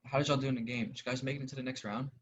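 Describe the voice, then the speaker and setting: A man's voice: mid-range voice, steady tone, very fast, slightly rough, natural voice. A young male actor playing a grieving, self-doubting athlete in a drama, sounding unsure and apologetic. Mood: subdued. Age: young adult. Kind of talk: drama dialogue. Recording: dull, noisy lo-fi audio with clicks.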